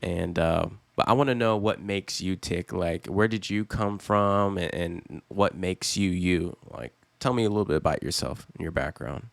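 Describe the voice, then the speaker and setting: Male podcast host, deep voice, hesitant and encouraging. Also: normal speed, vocal fry, few pauses, dry recording. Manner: hesitant, restarts a lot, encouraging. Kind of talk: casual interview.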